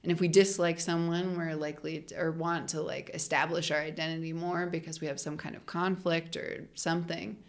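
A woman's voice: sits low, level tone, fairly quick, hardly any pauses. A young adult woman, a linguist guest on a podcast, talking casually and explaining ideas with lots of "like" fillers. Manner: chatty, frequent "like" fillers, laughs while explaining.

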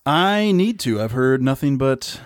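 A man bantering playfully on a podcast, teasing the others with quick interjections. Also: medium pitch, lively intonation, smooth, dry recording, clean studio sound. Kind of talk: casual banter.

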